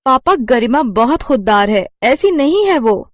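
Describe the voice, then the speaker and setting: A woman's voice: high voice, sing-song, measured pace, dry recording, voice pushed up. A woman voicing a kind rich young woman who defends her friend in a cartoon story. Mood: insistent. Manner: insistent, defending.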